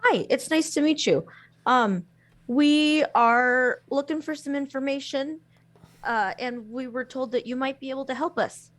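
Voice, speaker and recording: A woman's voice: high voice, a little slow, voice pushed up. A woman voicing her adventurer character, speaking sweetly and eagerly to a stranger. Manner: bright, overly sweet and polite.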